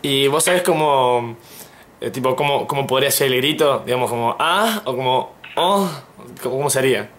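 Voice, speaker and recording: A young man with an Argentine accent speaking casually and haltingly, as if on a prank phone call. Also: mid-range voice, a bit bright. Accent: Argentine Spanish. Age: young adult. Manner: hesitant, halting, polite. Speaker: prank caller, YouTube host. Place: small indoor room at home.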